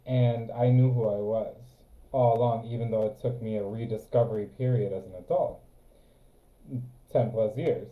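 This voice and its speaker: A man's voice: lowish voice, fairly even intonation, moderate pace. A man chatting casually as a co-host, giving earnest, repetitive advice. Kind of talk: casual spiritual chat. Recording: echoey phone-like audio.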